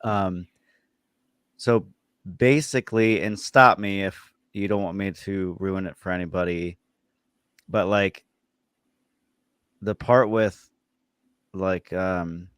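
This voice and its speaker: A man speaks in a lowish voice at an unhurried pace as a podcast co-host. His casual talk is halting and informal, recorded dry with little room sound. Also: fairly even intonation, fairly bright tone, clean tone, dry recording. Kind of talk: casual chat about horror series.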